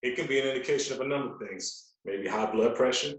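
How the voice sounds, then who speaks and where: A very reverberant room surrounds this man's medium-pitched voice. He is a fitness coach guesting on a podcast, explaining things at length and punctuating his points with rhetorical check-ins. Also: fast, recorded from a distance. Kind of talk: podcast conversation. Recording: very echoey room.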